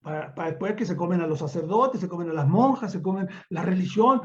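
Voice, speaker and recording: A man's voice: high voice, animated, fairly quick. An older man with a Chilean accent lecturing off the cuff as a professor, emphatic and repeating words for weight. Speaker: university professor, guest speaker. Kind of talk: extemporaneous online academic lecture. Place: remote online conference call. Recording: dull lo-fi online call audio.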